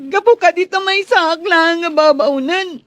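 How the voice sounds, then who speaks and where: An actress with a Filipino accent playing a heartbroken young housemaid in a radio drama, plaintive and weepy. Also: female voice, extremely high pitch, unhurried, fairly bright tone, no echo. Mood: tearful, hurt. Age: young adult. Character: heartbroken young housemaid in love, tearful and wounded.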